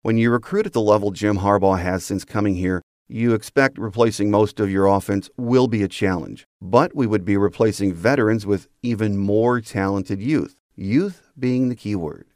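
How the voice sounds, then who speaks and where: A man's voice: lowish voice, moderate pace, few pauses, darkish tone, no echo, clean studio sound. A man hosting a sports podcast, delivering a scripted commentary monologue. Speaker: podcast host.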